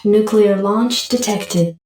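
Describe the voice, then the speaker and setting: A woman's voice: medium pitch, slow, a little mellow, a little hiss or hum, lightly acted. A woman voicing an efficient military adjutant in a video game, with clipped, businesslike announcements. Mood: neutral, efficient. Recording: heavily echoing, distant game audio.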